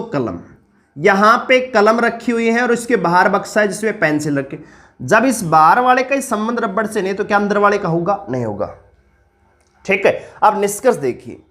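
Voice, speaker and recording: A man teaching reasoning for an exam-prep class, with a sore throat. He puts questions to viewers and answers them himself in a lower, slower voice, explaining step by step. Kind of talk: online exam-prep lesson.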